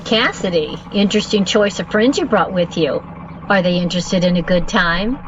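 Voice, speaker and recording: A woman playing a shrewd, commanding brothel madam in an audio drama, poised and probing, with a husky voice. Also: on the low side, moderate pace, dull audio, close to an everyday voice. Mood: cool, commanding.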